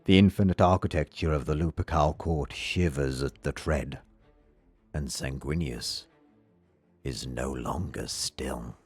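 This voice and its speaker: Male narrator for dark fiction: bottomless low register, slow measured pacing, grave and dramatic. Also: slightly rough. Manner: solemn, measured delivery. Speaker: audiobook narrator. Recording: music under the voice. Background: background music.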